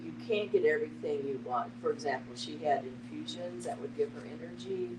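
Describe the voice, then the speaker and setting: A middle-aged woman giving an earnest personal account in a group discussion, speaking in an emphatic, deliberate way. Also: deep voice, lively intonation. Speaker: panel participant, family caregiver. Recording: echoey, distant mic, noisy hum.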